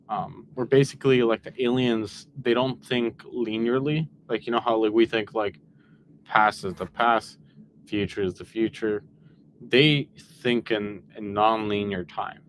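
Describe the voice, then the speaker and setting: A man of twenty-one from the United States in casual conversation, talking quickly and loosely with many "like"s. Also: medium pitch, slight background noise, rough recording. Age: 20s.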